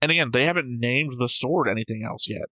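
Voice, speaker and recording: A man's voice: mid-range voice, brisk, pushed and bright, no echo. A man chatting casually as a reaction show co-host, rambling through his points and arguing them out. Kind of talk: casual discussion of an anime.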